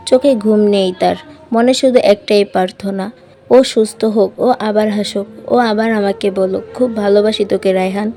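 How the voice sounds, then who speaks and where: A woman narrating a sad love story as a scripted voice-over, reading softly and with feeling. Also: medium pitch.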